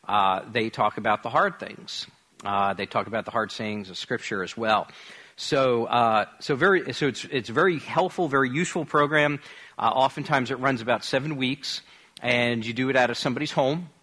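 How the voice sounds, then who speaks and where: Bright, forceful man teaching haltingly. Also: medium pitch. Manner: halting, repeats and rephrases phrases. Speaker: pastor teaching his congregation. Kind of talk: informal church teaching on evangelism.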